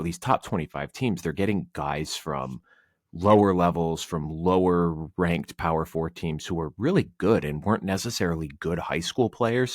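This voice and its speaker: A man with a very deep voice chats casually as a podcast guest, working through his points with many fillers. The sound is dry and close, with no room echo. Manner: rambling, analytical, filler-heavy. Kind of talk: casual sports talk.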